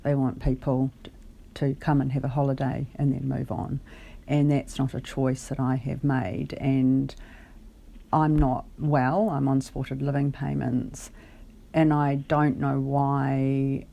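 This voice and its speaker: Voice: mid-range voice, flat delivery, slow pace, gravelly, dark tone, highs cut off. A caller on a phone conversation, explaining their case in a hesitant, careful and articulate way. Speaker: caller, benefit client.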